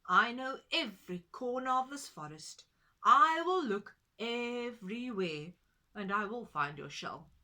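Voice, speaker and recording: A kind pigeon offers help in a gentle, reassuring voice, as a woman puts him on. He speaks slowly and warmly to a friend. It is a children's storybook reading. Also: a little high, expressive, heavily acted. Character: kind helpful male pigeon, calm friend.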